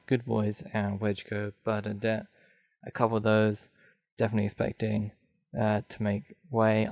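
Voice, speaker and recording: A man's voice: on the low side, creaky, no echo, dull audio. A man hosting a solo film podcast, rambling and thinking aloud with many ums, his voice getting dry. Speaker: podcast host.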